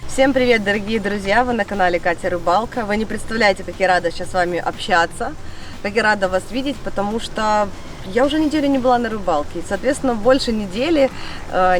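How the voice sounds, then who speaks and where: Female outdoor vlog host, mid pitch, nasal from a cold, enthusiastic and casual, clear background noise. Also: lively intonation, fast talker, recorded from a distance. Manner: chatty, enthusiastic. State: congested from a cold. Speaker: fishing channel host. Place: outdoors by a fishing lake.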